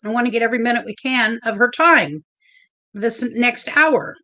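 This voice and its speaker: A woman hosting a casual livestream, chatty and a little nervous, with a loud, big voice. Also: fairly high pitch, expressive, moderate pace. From Oregon. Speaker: livestream host.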